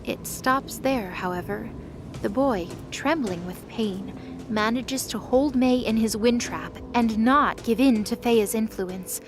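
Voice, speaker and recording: A woman's voice: on the high side, takes it slowly, noisy background. A woman reading dramatic scripted narration for a fantasy audio drama.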